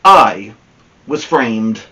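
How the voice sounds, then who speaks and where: A man's voice: lowish voice, expressive, extremely slow, pushed and bright, recorded from a distance, slight background noise, pitched below the performer's own voice, exaggerated voice. A man voicing a wronged storybook wolf who pleads innocence in a confiding, theatrical way. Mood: pleading innocence. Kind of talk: first-person storybook read-aloud.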